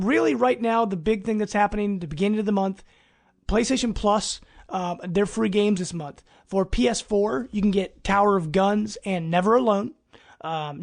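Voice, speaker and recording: A man's voice: high-pitched, gravelly. A man hosting a gaming news podcast, presenting in an informal, conversational way. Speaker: podcast host.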